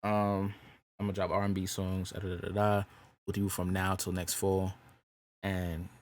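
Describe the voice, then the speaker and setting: A young man with a deep voice, chatting casually about making his music and saying "bro" a lot. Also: completely flat delivery, moderate pace, slight background noise. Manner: loose slangy talk, says "bro" a lot. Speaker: rapper and singer.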